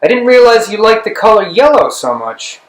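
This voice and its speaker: A man's voice: high voice, sing-song, moderate pace, voice pushed up. A man voicing a mocking, swaggering teenage older brother in an audiobook, with a sly, teasing manner. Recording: roomy, echoing room sound.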